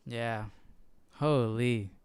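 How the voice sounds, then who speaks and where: A man's voice: mid-range voice, fairly even intonation, extremely slow, a little mellow, smooth, dry recording. A man hosting a livestream study in casual, informal chat sprinkled with youthful slang and exclamations. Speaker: Bible study livestream host.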